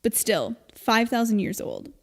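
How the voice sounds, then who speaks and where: A woman co-hosting a podcast, explaining things in casual, informal chat with an animated storytelling manner. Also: on the high side, measured pace. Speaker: podcast co-host. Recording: long reverb, hall-like room.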